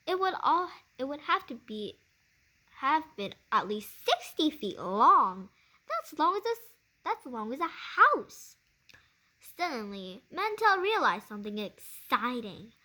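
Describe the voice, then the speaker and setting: A woman's voice: on the high side, bright, forceful tone. A woman reading a children's science book aloud, stumbling over words now and then and rereading phrases. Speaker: reader of a children's nonfiction book. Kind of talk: read-aloud of a science book with casual asides. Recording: clearly noisy amateur recording.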